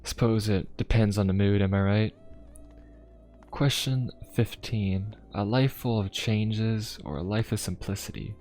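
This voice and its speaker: A man playing a courteous, inquisitive young pope of a steampunk fantasy world in an ASMR roleplay, speaking politely, formally and in a gently musing way. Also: on the low side, fairly even intonation, a little slow, natural voice. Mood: musing, friendly. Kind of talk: ASMR roleplay addressed to the listener. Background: music.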